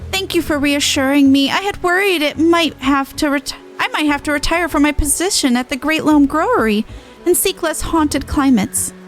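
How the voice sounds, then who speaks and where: A woman's voice: sits high, moderate pace, faint music behind, pitched above the performer's own voice, close to an everyday voice. A woman voicing a timid, earnest young garden worker in a fantasy game, grateful and easily awed. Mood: relieved, grateful.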